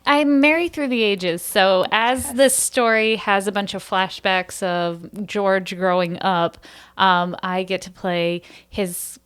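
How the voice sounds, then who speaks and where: A woman's voice: mid-range voice, steady tone, slow pace, a bit bright, smooth. A woman who acts on stage, talking as a podcast guest and explaining things in a casual interview style.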